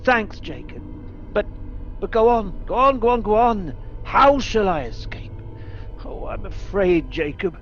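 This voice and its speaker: A man's voice: high-pitched, big pitch swings, unhurried, dull audio, faint music behind. A man playing a stubborn, scoffing and fearful elderly miser in a radio play, stammering and repeating words in agitation. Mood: pleading, anxious.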